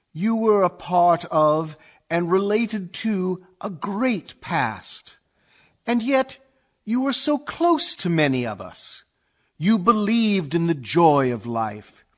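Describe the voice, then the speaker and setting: A man's voice: fairly high pitch, heavily acted. A man acting a doubting townsman who fondly mourns a village priest in an elegiac verse monologue for a radio play. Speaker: actor in a community theatre radio play.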